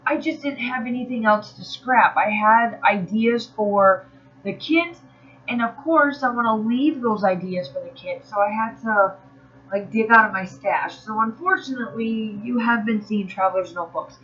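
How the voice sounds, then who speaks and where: A woman narrates her craft video in a relaxed, rambling way, with fillers and quick restarts. Her voice sounds a little nasal from allergies. The home recording is somewhat dull and muffled. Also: a little high. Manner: chatty, rambling, with fillers and self-corrections. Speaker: craft channel host. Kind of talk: casual voice-over narrating a crafting process video.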